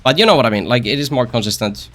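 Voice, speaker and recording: Bright-voiced man rambling over a game. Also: mid-range voice, lively intonation, racing. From Finland. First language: Finnish. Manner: rambling, self-deprecating, joking asides, repeats phrases. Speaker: game streamer. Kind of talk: casual gameplay commentary.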